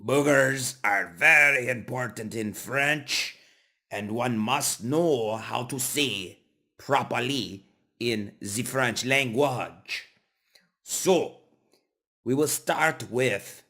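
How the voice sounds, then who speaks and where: A man playing a goofy, self-styled French language teacher in a comic lesson, speaking with deliberate, drawn-out teacherly care. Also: mid-range voice, bright, forceful tone. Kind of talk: comic instructional monologue.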